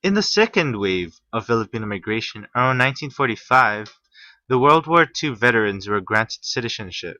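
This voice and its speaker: A young man from Hawaii reading a class presentation, halting at times with restarts. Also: medium pitch, animated, sharp tone, no room sound. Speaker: student presenter. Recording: muffled, slightly lo-fi.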